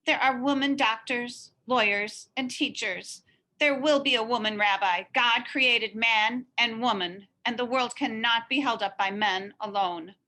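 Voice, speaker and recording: A woman's voice: fairly high pitch, unhurried, bright, forceful tone, pitched above the performer's own voice. A woman voicing a determined, defiant girl in a storybook, speaking earnestly and resolutely. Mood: impassioned. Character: determined, defiant girl who dreams of becoming rabbi.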